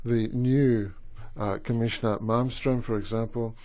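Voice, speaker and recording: Austrian guy with a thick accent giving a halting union speech, ordinary pitch. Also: steady tone, dark tone. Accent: Austrian German. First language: German. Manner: halting, with many fillers and restarts. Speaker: trade union representative. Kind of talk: conference speech.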